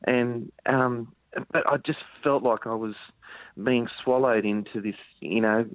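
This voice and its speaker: A man's voice: mid-range voice, fairly even intonation, a little slow, no echo. A man from Sydney with an Australian accent, a country musician talking in a rambling, hesitant way full of ums. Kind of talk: phone-in radio interview. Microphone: phone line. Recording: tinny phone line, dry.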